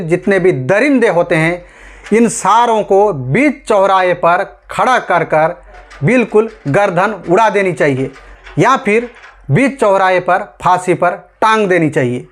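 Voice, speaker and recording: A man's voice: sits high, measured pace, fairly bright tone. A man from India presenting online news commentary in an emphatic, rhetorical way, addressing his audience directly. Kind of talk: scripted news commentary to camera.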